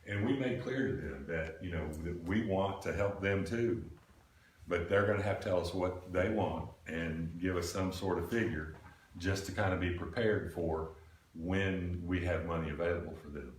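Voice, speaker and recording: An older man speaks in a very low voice, folksy and plain, as he lays out a proposal to a committee. He is picked up from across a roomy meeting space. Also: moderate pace. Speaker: committee member presenting a proposal. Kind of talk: informal explanation at a public meeting. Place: small indoor meeting room. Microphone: camera microphone across the room. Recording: roomy, distant mic with background noise.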